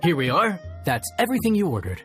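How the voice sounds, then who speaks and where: Courteous, animated young inn chef. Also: male voice, fairly high pitch, fast, faint music behind. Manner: polite, modest. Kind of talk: video game voice acting.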